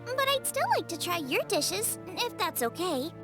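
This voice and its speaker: A very high, lively girl's voice for an anime-style game. She is a bubbly young chef, earnest and eager, with music underneath. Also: unhurried, exaggerated voice. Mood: earnest, friendly. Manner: perky, eager, sincere. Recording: music behind the voice.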